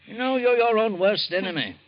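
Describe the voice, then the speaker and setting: A chiding, jovial doctor. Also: male voice, high-pitched, animated, brisk. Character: brisk jovial male family doctor. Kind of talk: 1940s radio acting. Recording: dull old radio recording.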